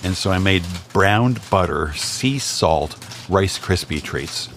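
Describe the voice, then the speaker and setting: A man's voice: deep voice, animated, slow, fairly bright tone, a little hiss or hum. A man chatting as a podcast guest, wry and easygoing. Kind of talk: casual chat.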